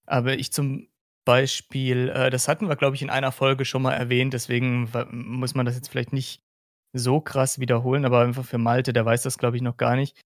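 A man's voice: mid-range voice, completely flat delivery, fairly quick, no room sound, clean studio sound. A man from Hesse in Germany chats casually as a podcast host, explaining things in a rambling way.

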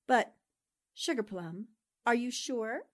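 A woman's voice: a little high, slow pace. An actress playing an affectionate, spirited newspaperwoman in a 1940s-style radio drama, speaking expressively and with fond familiarity. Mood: alarmed, concerned. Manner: expressive, chatty, uses pet endearments. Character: affectionate, spirited newspaperwoman concerned for her friend.

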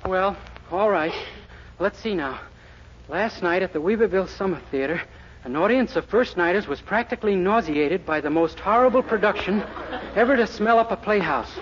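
A man's voice: high-pitched, expressive, moderate pace, fairly bright tone. An actor playing a naive, eager and cocky young man in 1940s radio comedy, with a boyish, guileless manner. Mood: doubtful. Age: young adult. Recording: muffled, hissy 1940s radio recording.

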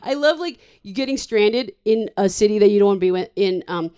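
A woman's voice: mid-range voice, expressive, a little fast, mellow, smooth. A woman hosting a podcast, chatting casually with a guest, rambling and laughing often. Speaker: podcast host. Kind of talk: casual interview chat.

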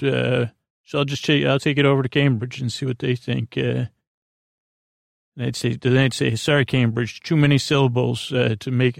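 Creaky-voiced man rambling at bedtime. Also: mid-range voice, no room sound, studio quality. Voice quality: creaky, gravelly. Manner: meandering, halting, trailing off mid-thought. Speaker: podcast host.

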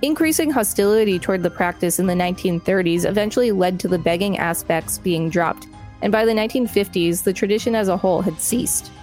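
A woman podcast host with a mid-range voice reads encyclopedia text aloud and chats about it. Soft music plays faintly behind her. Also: medium pitch, a little fast. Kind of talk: reading an encyclopedia article aloud with commentary.

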